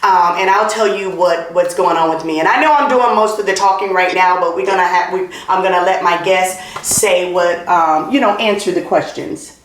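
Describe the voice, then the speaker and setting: Excited, loud middle-aged woman in an echoey room. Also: on the low side, normal speed, hardly any pauses, slight background noise. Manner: enthusiastic, repeats herself, calls herself loud. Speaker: podcast host. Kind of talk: casual podcast chat. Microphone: not wearing her clip-on mic. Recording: roomy echo.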